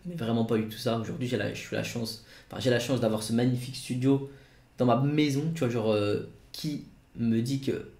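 Jeune homme bavard, salle qui résonne. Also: male voice, mid-range voice, steady tone, a little fast, darkish tone, reverberant. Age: 20s. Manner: rambling, many fillers and restarts. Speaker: YouTuber. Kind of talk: casual vlog to camera. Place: home studio room.

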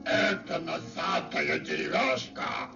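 A man's voice: on the high side, lively intonation, slow, vocal fry, heavily acted. A man playing a menacing, greedy puppet theatre director in an old Soviet cartoon, theatrical, threatening and falsely sweet. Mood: angry, commanding. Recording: dull old film soundtrack, music behind.

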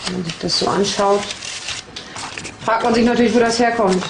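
A woman's voice: mid-range voice, steady tone, normal speed, gravelly, echoey room, noisy background. A woman police investigator explaining case evidence in an interview in a matter-of-fact, explanatory way.

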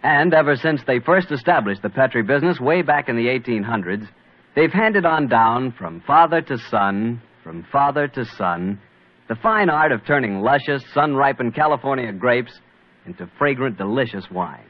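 A man announcing in old-time radio style, smooth and folksy with a lively, rising and falling delivery. His voice comes through a dull, muffled broadcast sound. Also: mid-range voice, moderate pace.

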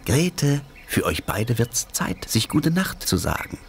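A man narrating a children's cartoon in a gentle, lively storytelling manner. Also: mid-range voice, slow pace, creaky. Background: music.

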